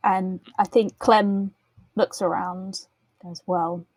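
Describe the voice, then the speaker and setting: A woman playing a tabletop role-playing game, talking casually in measured, thoughtful phrases. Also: mid-range voice, fairly even intonation, a little mellow, no room sound, poor audio. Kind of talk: casual improvised game talk.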